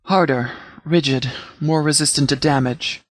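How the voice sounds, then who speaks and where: An actor playing a stern but caring military commander in a video game, speaking in a measured, formal, authoritative way. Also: male voice, a little high, steady tone, dry recording, studio quality, close to an everyday voice. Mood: grave, reflective. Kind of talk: scripted game dialogue.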